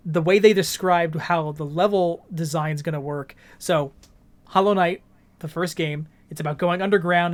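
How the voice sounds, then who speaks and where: A man co-hosting a gaming podcast, explaining things earnestly in a casual conversational style. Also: a little high. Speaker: podcast co-host.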